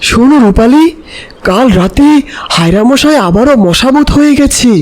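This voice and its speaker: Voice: high-pitched, animated, moderate pace, slight background noise. A performer voicing an earnest male kite, a helpful bird messenger in a children's cartoon, speaking with urgency. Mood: urgent. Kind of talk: animated children's story voice acting.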